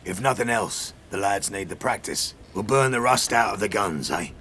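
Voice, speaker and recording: A ruthless pirate captain with a low, forceful voice. He commands in salty old seafaring talk with wry menace, in an audio drama with clear ambient noise behind him. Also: moderate pace. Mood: wry, commanding. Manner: archaic seafaring speech, commanding. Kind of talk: full-cast audio drama acting.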